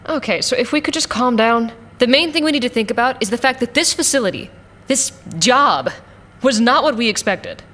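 A woman's voice: fairly high pitch, expressive, fairly quick, fairly bright tone, big-room sound. A woman playing a tough, level-headed mercenary in an audio drama, blunt and dry but fair. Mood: brisk, conciliatory.

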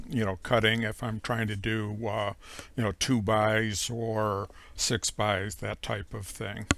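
A middle-aged man narrating how he runs his sawmill, speaking slowly and plainly with frequent pauses. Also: mid-range voice, fairly bright tone, a little hiss or hum. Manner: slow, measured, plain-spoken with frequent "uh" pauses. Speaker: homestead sawmill operator. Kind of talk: voice-over explaining sawmill operation.

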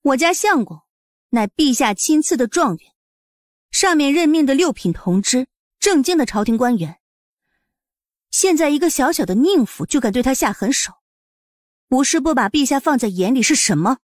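Woman acting a high-voiced, cold commanding wife. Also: expressive, moderate pace, long pauses, no echo, studio quality. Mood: cold, defiant. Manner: stern, assertive. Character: cold, commanding, sharp-tongued young official's wife. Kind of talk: audiobook drama acting in Mandarin.

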